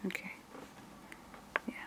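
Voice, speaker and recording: A woman's voice: slow pace, a bit bright, a little hiss or hum, poor audio. A woman doing a one-on-one ASMR role-play as an examiner, hesitant and muttering to herself, drifting between normal voice and whispery murmur.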